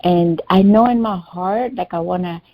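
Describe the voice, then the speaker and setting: Hesitant Albanian-native woman, slightly low voice, fillers and restarts, flat dry call audio. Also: moderate pace, no echo, lo-fi sound. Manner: hesitant, searching for words, many fillers. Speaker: client in a healing session. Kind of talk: personal sharing in a one-on-one session.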